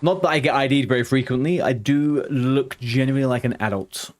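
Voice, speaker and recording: A man in his thirties with a softened London accent, chatting casually with viewers while streaming a game. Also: mid-range voice, brisk. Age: 30s. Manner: chatty and rambling, answers viewers' chat aloud. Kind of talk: casual live-stream chat.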